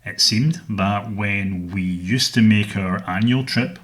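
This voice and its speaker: A middle-aged Scottish man hosting a solo podcast, speaking in a measured, earnest way about his interests. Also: lowish voice, fairly bright tone. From Scotland. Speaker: podcast host.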